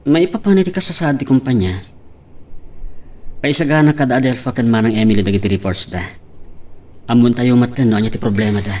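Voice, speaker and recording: An actor playing a friendly, attentive young office colleague in a radio drama. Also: male voice, medium pitch, brisk, pauses often, a little mellow, close to an everyday voice. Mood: businesslike.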